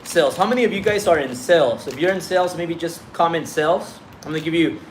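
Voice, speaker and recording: A man's voice: a little high, fast, a little hiss or hum. Mexican-accented man talking live, unfiltered. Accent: Mexican Spanish. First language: Spanish. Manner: blunt, casual, repeats himself, swears. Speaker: business coach on a livestream. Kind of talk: casual livestream talk. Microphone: phone on a live stream.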